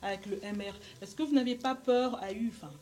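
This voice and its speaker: A woman's voice: a little high, brisk, darkish tone. A woman moderating a political debate, cutting in and pressing the guests with questions.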